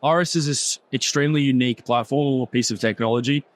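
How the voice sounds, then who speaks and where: A man's voice: mid-range voice, quick pace. An Australian man talking business on a panel, hesitant, with many fillers and restarts. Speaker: trading executive. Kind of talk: panel conversation.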